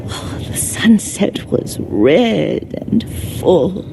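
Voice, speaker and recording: An actress playing a frail, tender old woman in a game drama, breathy and halting as she reminisces. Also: lowish voice, big pitch swings, exaggerated voice. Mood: fading, tender. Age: older. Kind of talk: dramatic game cutscene acting. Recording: music behind the voice.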